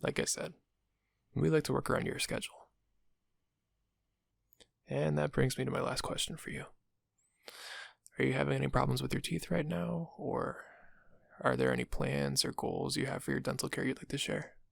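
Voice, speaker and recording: A man playing a sly, laid-back receptionist for a vampire dentist in an audio roleplay, speaking to the listener in a gravelly, husky, knowing voice. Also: medium pitch, fairly even intonation, normal speed, long pauses, no room sound, clean studio sound. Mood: sly, casual. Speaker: receptionist character. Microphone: close, dry microphone.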